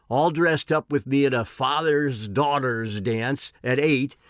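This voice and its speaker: A man's voice: fairly high pitch, fairly bright tone, dry recording, studio quality. A man in his sixties reading his own personal essay aloud. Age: 60s.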